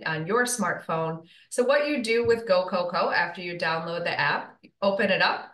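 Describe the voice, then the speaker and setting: A woman from Michigan, a registered dietitian, explaining cheerfully and clearly as a guest in an interview. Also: fairly low pitch, bright, forceful tone, reverberant. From Michigan, USA. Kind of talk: casual interview chat.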